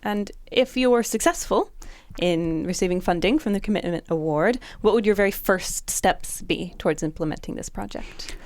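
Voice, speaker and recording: A woman hosting a podcast, asking interview questions in a polite, measured way. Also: mid-range voice, animated, a little mellow, dry recording. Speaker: podcast host.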